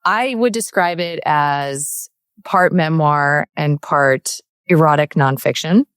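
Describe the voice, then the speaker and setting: A woman's voice: low-pitched, slow, clean tone, no room sound, clean studio sound. A middle-aged woman hosting a podcast interview, talking in an effusive, rambling way full of "like" and "right". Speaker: podcast host. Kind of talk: interview introduction.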